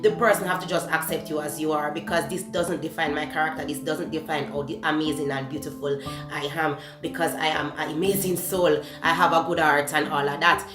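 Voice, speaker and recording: A young woman with a Jamaican accent, whose first language is Patois, talks candidly to the camera in her home kitchen. She often pauses to search for words. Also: sits low, steady tone. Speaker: beauty vlogger. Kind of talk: casual talk-to-camera beauty vlog. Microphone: camera close to the face. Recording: echoey kitchen with background music.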